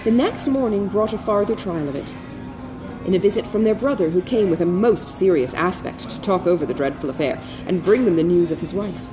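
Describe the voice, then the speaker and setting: A woman reading a classic novel aloud as an audiobook narrator, in an expressive literary style. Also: on the low side, brisk, music in the background, low-quality recording.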